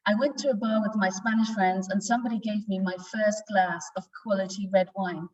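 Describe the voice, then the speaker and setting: A woman's voice: mid-range voice, fairly even intonation, fairly quick, a little mellow. A woman in her forties with a Northern English accent, telling her story informally as a guest presenter on a video call. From north of England. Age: 40s. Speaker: guest presenter, wine exporter. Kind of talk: informal virtual tasting presentation. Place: office tasting room, indoors. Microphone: video call, away from the mic. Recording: distant, dull lo-fi video-call sound.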